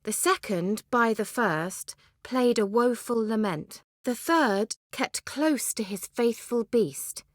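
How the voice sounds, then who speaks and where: An actress playing a self-assured, coy and haughty young sorceress in a video game, with articulate, teasing, theatrical delivery. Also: female voice, on the high side, lively intonation, slow, hardly any pauses, dry recording, studio quality. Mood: slow, reciting. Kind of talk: video game character acting.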